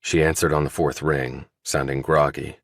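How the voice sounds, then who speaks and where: A man's voice: a bass voice, level tone, moderate pace, dry recording, studio quality. A man reading a scripted thriller audiobook as its narrator.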